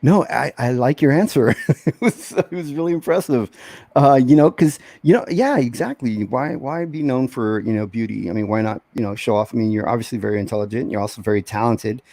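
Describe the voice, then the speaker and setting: A man from Texas talks casually as a guest, joking around and laughing between his words. His voice sits mid-range and sounds mellow. It is recorded with a dry sound. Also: mid-range voice, brisk, no room sound. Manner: joking, laughs often, restarts. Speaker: guest, comic book inker. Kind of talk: casual chat.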